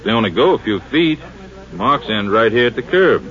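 A man's voice: medium pitch, lively intonation, moderate pace, lightly acted. A man acting a plain-spoken small-town Texas sheriff in a 1950s radio crime drama, folksy and laconic. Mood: matter-of-fact. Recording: muffled, noisy 1950s radio recording.

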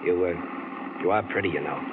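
An actor playing a breezy, persuasive truck driver in a 1940s radio drama, slangy and easygoing. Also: male voice, sits low, moderate pace, close to an everyday voice. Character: smooth-talking male truck driver, Hal. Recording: tinny old radio, clear background hiss.

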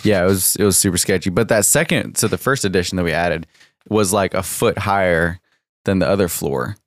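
Brief: young male podcast co-host, deep low voice, upbeat, dry close mic. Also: a little fast, no room sound. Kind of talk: casual chat.

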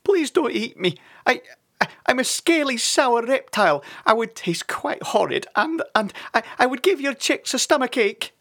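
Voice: medium pitch, big pitch swings, moderate pace, fairly bright tone, voice pushed up. A storyteller voicing a slender male snake, easily panicked, stammering and pleading. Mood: frightened pleading.

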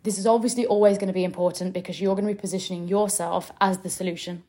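Young woman coach, middle-pitched voice, chatty and direct. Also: fairly even intonation, fast, warm tone. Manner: chatty and direct, with occasional laughs and mild swearing. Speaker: business coach and podcast host. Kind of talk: casual teaching podcast monologue.

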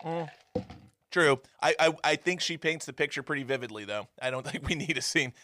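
A man co-hosting a casual movie review podcast, animated and swearing freely. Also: on the high side, dry recording, clean studio sound. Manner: animated, swearing, quick asides. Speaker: podcast co-host.

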